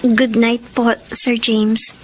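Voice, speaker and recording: An actress playing a modest, hesitant young maid and student in a Filipino radio drama, with a Filipino accent. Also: female voice, on the high side, little pitch movement, very slow, a little hiss or hum, rough recording. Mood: polite, surprised. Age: young adult.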